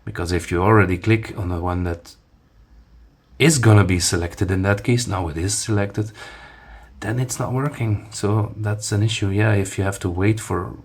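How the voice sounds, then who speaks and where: A man's voice: fairly low pitch, fairly even intonation, moderate pace, husky. Man with a Dutch first language, rambling review. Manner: rambling, many restarts and fillers, demonstrating as he talks. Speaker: phone reviewer. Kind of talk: casual hands-on product review.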